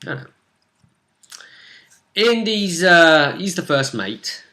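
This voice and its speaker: A man's voice: on the high side, big pitch swings, slow, sharp tone. A British man speaking as game master, casually recapping a tabletop role-playing session and dropping teasing hints.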